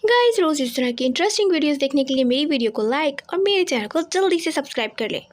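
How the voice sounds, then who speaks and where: Very high-pitched woman storyteller. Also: lively intonation, fast. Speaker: narrator. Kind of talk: children's story narration.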